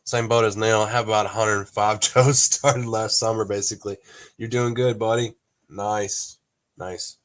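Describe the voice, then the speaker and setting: A man's voice: fairly low pitch, fairly even intonation, moderate pace. Congested laid-back man chatting on stream. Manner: rambling, laid-back, laughs often, mild cursing. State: congested from allergies, coughing. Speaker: toy collector livestream host. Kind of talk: casual livestream chat with viewers.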